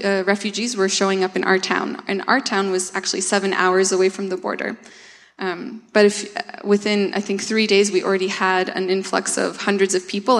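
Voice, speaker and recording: A woman's voice: medium pitch, fairly bright tone. A woman from Canada, who grew up speaking Russian at home, giving an earnest, plainspoken mission update to a church. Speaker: campus ministry worker. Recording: long hall reverb.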